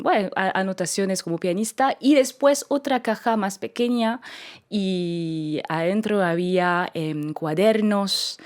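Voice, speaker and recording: A woman with a French accent tells her story in a radio interview, speaking Spanish as a second language. Her voice sits a little low, and she stops and restarts often while she hunts for the right word. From France. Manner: warm, searching for words with frequent restarts. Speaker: singer, interview guest.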